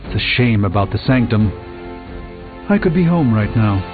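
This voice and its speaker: An actor playing a pompous, learned sorcerer in a video game, speaking in a grandiose, lecturing way. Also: male voice, on the low side, expressive, moderate pace, natural voice. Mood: idle, dry. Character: pompous, theatrical, learned male sorcerer and mystic. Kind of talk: theatrical video game dialogue. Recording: thin phone-like band, music behind.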